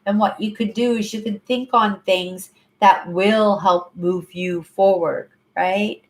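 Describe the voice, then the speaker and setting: A woman's voice: mid-range voice, fairly even intonation, slow. A woman in her fifties chatting casually on a livestream from her apartment, rambling, repeating herself and ending sentences with "right?". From Canada. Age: 50s. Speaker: livestream host. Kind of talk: casual live chat to viewers.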